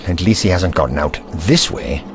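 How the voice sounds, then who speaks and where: A man playing an eccentric, brainy time traveller in an audio drama, kindly but testy, musing aloud in a wordy, gently pedantic manner. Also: deep voice, lively intonation, moderate pace, slight background noise. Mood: wary, musing.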